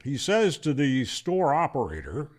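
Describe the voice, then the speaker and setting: A man with a fairly high voice and a very lively, swinging intonation. He talks in a folksy, conversational style, like a channel host explaining his hobby and telling stories along the way. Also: a little slow. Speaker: ham radio channel host. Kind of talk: explanatory storytelling to camera.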